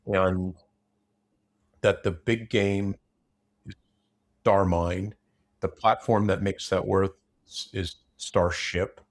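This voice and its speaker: A man's voice: low-pitched, creaky. A man in a casual unscripted discussion, opinionated and blunt, rambling through broken-off sentences.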